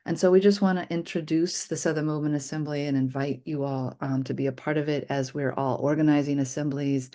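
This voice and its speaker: A speaker with a soft, mellow tone introduces a community organizing gathering over a video call. The intonation stays fairly flat and the pitch sits in a middle range, in an informal but prepared way. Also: a little fast, hardly any pauses. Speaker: event speaker introducing a movement assembly. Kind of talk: prepared remarks spoken informally.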